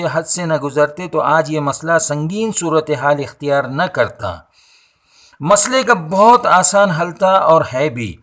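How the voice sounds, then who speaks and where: Higher-pitched man, oratorical delivery. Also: fairly bright tone. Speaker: social and religious commentator. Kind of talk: scripted opinion monologue in formal Urdu-laced speech.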